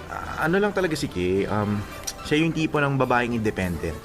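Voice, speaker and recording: An actor with a Filipino accent playing an easygoing young office buddy in a radio drama, teasing and coaxing. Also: male voice, mid-range voice, very animated, moderate pace, close to an everyday voice. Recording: music bed underneath.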